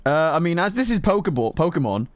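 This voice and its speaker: A woman giving casual, reactive gameplay commentary in an informal, excitable way. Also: lowish voice, fast, no echo. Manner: animated, reactive, giggly, informal with mild swearing. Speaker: gaming video host and player.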